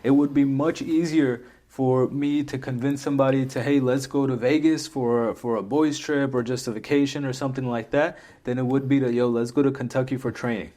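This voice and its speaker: A man's voice: medium pitch, steady tone, a little fast, hardly any pauses, mellow. A man hosting a podcast in casual chat, loose and conversational, often checking in with "right?" and repeating words as he thinks. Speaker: podcast host.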